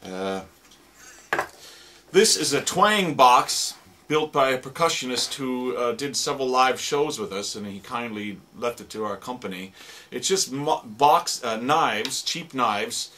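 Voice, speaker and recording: A man who produces radio drama, demonstrating sound effect gadgets in a relaxed, explanatory way with plenty of fillers. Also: medium pitch, unhurried, slight background noise.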